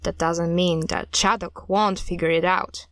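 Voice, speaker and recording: A woman's voice: on the low side, steady tone, a little slow, a bit bright, dry recording, studio quality. A woman playing a blunt, guarded cult hunter in an audio drama, speaking in a terse, matter-of-fact way. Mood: grave, wary.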